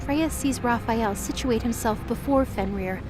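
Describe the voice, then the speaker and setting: A woman's voice: fairly high pitch, unhurried, music in the background. A woman reading scripted, dramatic story narration for an audio drama.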